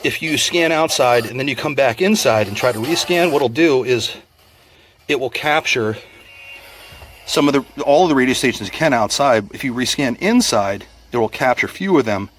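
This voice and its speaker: A man giving a casual, unscripted product review in a plainspoken, measured, explanatory way. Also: mid-range voice, animated, fairly bright tone, slight background noise.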